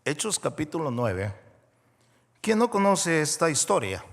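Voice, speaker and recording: A man from Mexico preaching a sermon as a guest pastor, moving between hushed storytelling and loud, rising preaching. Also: on the high side, sing-song, measured pace. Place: large church auditorium. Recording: long hall reverb.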